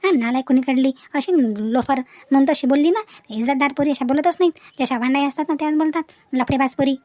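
A woman's voice: sits high, quick pace, mellow, dry recording, close to an everyday voice. A woman voicing an angry, nagging village mother in a cartoon comedy, taunting and repeating herself in a Vidarbha Maharashtrian dialect. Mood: indignant. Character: angry, scornful Marathi mother and meddling village aunt. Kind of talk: comic family drama cartoon dubbing.

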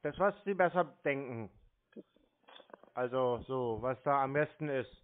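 A man's voice: mid-range voice, a little slow. A man on a phone call answering tersely in an obtuse, naive-sounding way. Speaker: prank call recipient stringing along a scammer. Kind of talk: phone conversation. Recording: narrow muffled phone line.

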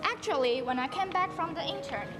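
A teenage girl plays an idealistic young woman doctor who explains things earnestly, with a Taiwanese accent. Her voice sits high. It echoes across an auditorium with music playing behind. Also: lively intonation, fairly quick. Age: teens. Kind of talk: school stage play. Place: large school auditorium stage.

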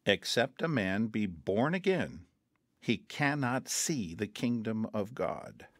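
An actor playing a patient mission counselor in a radio drama, talking calmly and earnestly and quoting scripture. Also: male voice, fairly low pitch, slow pace, dry recording, heavily acted. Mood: gentle. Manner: calm, earnest, quotes scripture.

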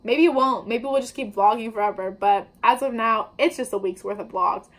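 Young female vlogger, higher pitch, casual slangy patter, recorded at home on the camera mic. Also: moderate pace. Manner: chatty, upbeat, slangy. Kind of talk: casual baking vlog. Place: indoors at home, kitchen table before a wallpapered wall.

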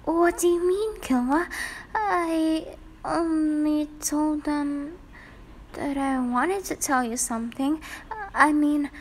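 Woman doing a high pitched catty schoolgirl, fake sweet n condescending, lovesick rival in a roleplay. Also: slow pace, a little hiss or hum. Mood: flustered. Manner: affected, condescending, sugary. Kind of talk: romantic fan-fiction roleplay.

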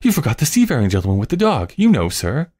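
A man's voice: medium pitch, wildly swooping pitch, fast, darkish tone, slightly rough, pitched above the performer's own voice. A man voicing a timid, eager young apprentice boy in a Victorian story, pleading and chattering deferentially. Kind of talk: Victorian melodrama storybook character reading.

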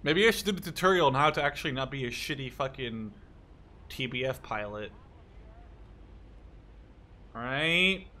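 A young man giving casual, profanity-laden gameplay commentary, excitable and often talking to himself as he plays. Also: mid-range voice, normal speed, pauses often. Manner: excitable, swears freely, talks to himself. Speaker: gaming YouTuber. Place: small room at home.

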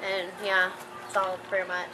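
A woman's voice: mid-range voice, monotone, normal speed, crowd noise. A young woman giving a casual, slightly hesitant show-and-tell as a student livestock exhibitor. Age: teens to young adult. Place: outdoors beside parked trailers. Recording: noisy outdoor camcorder sound.

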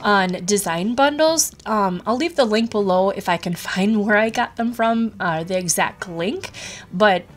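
A woman's voice: medium pitch, measured pace. A woman hosting a craft tutorial, talking casually with lots of ums and restarts, her voice a little stuffy from a cold. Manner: chatty, rambling, many ums and restarts. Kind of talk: casual screen-recorded tutorial.

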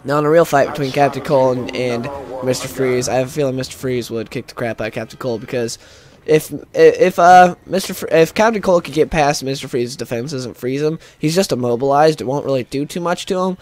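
A man's voice: mid-range voice, fairly quick, no room sound, soft background music. Stuffy-nosed young male gamer. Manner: chatty, rambling, excitable. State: stuffed-up nose. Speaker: gaming YouTuber. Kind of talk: casual gameplay commentary.

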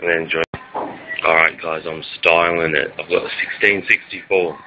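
A man's voice: low voice, fairly even intonation, moderate pace. Rambling Australian guy vlogging outdoors. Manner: rambling, crude jokey banter. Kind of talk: casual walking vlog. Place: outdoors on suburban streets. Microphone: handheld camera at arm's length. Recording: lo-fi camera audio with street noise.

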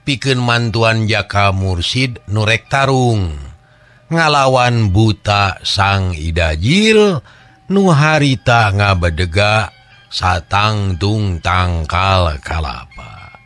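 A male storyteller with a Sundanese accent narrates a folk tale in dramatic oral style. His voice is fairly low and his pace slow, with faint music underneath. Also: fairly bright tone.

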